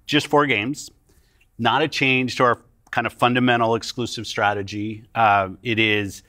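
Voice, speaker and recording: A middle-aged man, a gaming company executive, answering podcast interview questions in a calm, deliberate way. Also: mid-range voice, no room sound, clean studio sound. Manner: calm and deliberate, careful with his words. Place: studio set.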